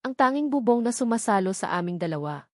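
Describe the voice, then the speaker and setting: A woman's voice: a little high, sing-song, quick pace, darkish tone, no echo, clean studio sound. A woman giving an emotional, melodramatic first-person narration of a scripted drama story.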